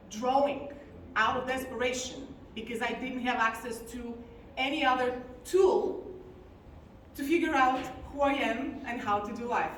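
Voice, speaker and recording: A woman, giving an earnest, confessional talk from a conference stage and slowing down on key words. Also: sits high, fairly bright tone. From Bulgaria. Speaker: conference speaker. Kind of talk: personal story talk on stage. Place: large conference hall stage. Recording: very echoey hall, distant mic, faint noise.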